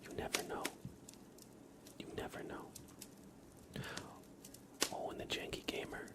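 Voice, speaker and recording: A man, hosting an ASMR livestream in a gentle, unhurried way and talking directly to his chat. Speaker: livestream host. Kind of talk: ASMR livestream, whispered and soft-spoken.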